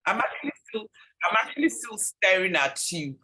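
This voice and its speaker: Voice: medium pitch, very animated, brisk, sharp tone, poor audio. A podcast host interviewing a guest casually, mostly chiming in with short encouraging replies.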